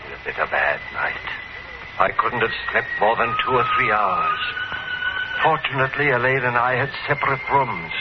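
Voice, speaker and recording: A man's voice: mid-range voice, normal speed, close to an everyday voice. An actor playing an anxious, secretive married man in a 1950s radio horror drama, speaking in hesitant, broken phrases. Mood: haunted, brooding. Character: anxious, secretive, haunted married bird-lover narrating his own story. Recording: muffled, very noisy vintage radio recording.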